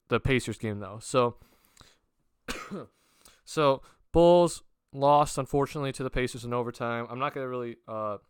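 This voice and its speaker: A man's voice: medium pitch, a bit bright, smooth, no room sound, studio quality. Young male sports vlogger, sick with a cold, rambling and filler-heavy, clean resonant tone. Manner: rambling with many fillers and restarts. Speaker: basketball vlogger. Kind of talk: casual sports talk to camera.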